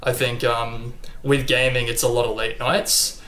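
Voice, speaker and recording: A young Australian man talking casually in an interview, relaxed and chatty with frequent ums and likes. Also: mid-range voice, unhurried. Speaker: interview guest, athlete. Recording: echoey room.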